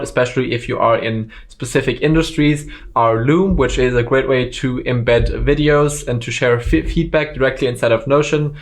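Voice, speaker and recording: A native German speaker talks through a screen-recorded software lesson as a course instructor. His voice sits at a middle pitch. He explains steps in a relaxed way, with frequent ums and uhs.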